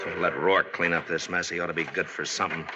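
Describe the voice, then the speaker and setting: An American actor playing a gruff, weary, watchful US marshal in an old radio western. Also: male voice, low voice, little pitch movement, fast talker, lo-fi sound, lightly acted. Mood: weary, grim. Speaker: actor in the lead part. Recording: noisy vintage radio recording.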